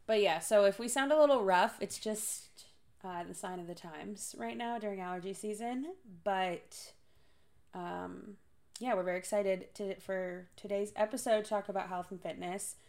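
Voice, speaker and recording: A young woman co-hosting a podcast in casual chat, sounding stuffy and congested from allergies, chatty and quick to laugh. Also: medium pitch, vocal fry, darkish tone. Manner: chatty, laughs often, sisterly banter. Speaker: podcast co-host.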